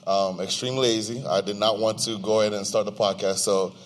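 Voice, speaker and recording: A man's voice: fairly low pitch, little pitch movement, fairly quick. A young American man co-hosting a podcast, chatting informally and with slang in a slightly distorted live event recording. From United States, of Nigerian descent. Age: young adult. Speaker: podcast co-host. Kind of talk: casual chat to a live audience. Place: live event venue with audience.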